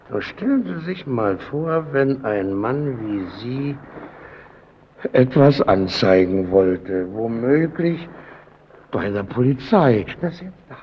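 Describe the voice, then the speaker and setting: An actor playing a menacing superior in a German radio play, needling and mock-friendly as he bullies a timid underling. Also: on the low side. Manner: needling, insinuating, mock-friendly, swinging from shouts to mutters. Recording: dull, noisy old radio play recording.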